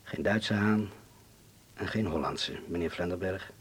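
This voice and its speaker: An actor playing a courteous, grave man in hiding in a Dutch radio drama, speaking formally and deliberately with a Netherlands Dutch accent. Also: low voice, breathy edge. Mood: delicate, persuasive.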